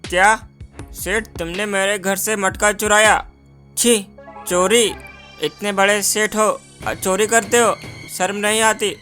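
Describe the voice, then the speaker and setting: A woman's voice: mid-range voice, fairly even intonation, slow, a bit bright, faint music behind. A woman voicing an honest, earnest village milkman and father in a moral-story cartoon, given to exclaiming. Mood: indignant.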